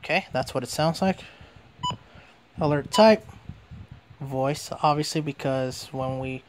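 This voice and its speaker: A man's voice: a little high, a little slow, noisy background. A man giving a casual hands-on product demonstration as a reviewer.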